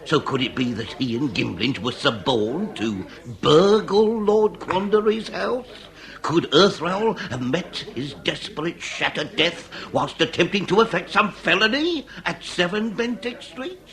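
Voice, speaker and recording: An actor playing a jovial, shrewd period police inspector with a wordy, old-fashioned way of talking in a comic radio drama. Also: male voice, on the high side, big pitch swings, measured pace, a bit bright, exaggerated voice. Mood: musing, theatrical. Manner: old-fashioned wordy speech, hesitant asides, chuckling.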